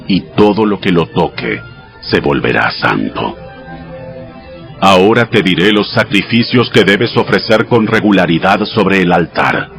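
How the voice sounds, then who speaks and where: A man playing God as a solemn, commanding male deity, delivering measured commands in a dramatized audio scripture. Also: sits low, vocal fry, a bit bright, exaggerated voice. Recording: music behind the voice. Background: music.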